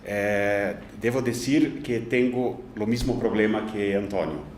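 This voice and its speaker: Hesitant Brazilian man, low voice. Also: darkish tone, roomy sound, a little hiss or hum. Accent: Brazilian Portuguese. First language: Portuguese. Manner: hesitant, many pauses and fillers. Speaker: conference speaker. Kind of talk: academic paper reading. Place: conference hall.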